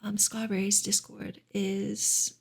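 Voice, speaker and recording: A woman speaking softly and gently in a breathy, whispery ASMR style, as a streamer reading and chatting to listeners. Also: mid-range voice, level tone, slow pace. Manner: gentle, soft-spoken. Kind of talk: soft-spoken ASMR book reading and chat.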